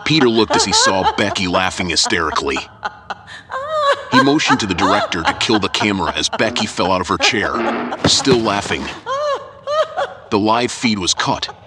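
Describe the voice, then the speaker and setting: A man giving scripted story narration as the narrator of an audio drama. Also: fairly low pitch. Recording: loud music under the voice. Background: loud dramatic music.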